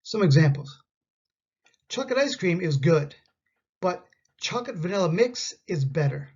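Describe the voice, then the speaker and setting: A man from Canada with a Canadian English accent, teaching an English grammar lesson in slow, carefully spoken example sentences. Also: high-pitched, very animated, darkish tone, husky, dull audio.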